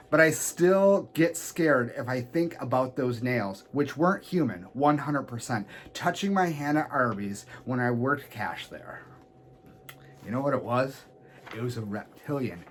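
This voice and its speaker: A man's voice: medium pitch, animated, moderate pace, fairly bright tone. A man who works as a pro wrestler, reading listener letters aloud as a show guest. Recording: noisy background.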